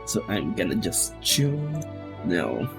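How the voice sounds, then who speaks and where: Very low, creaky, unhurried female streamer. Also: sing-song. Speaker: game streamer. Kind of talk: casual live stream commentary. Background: game music.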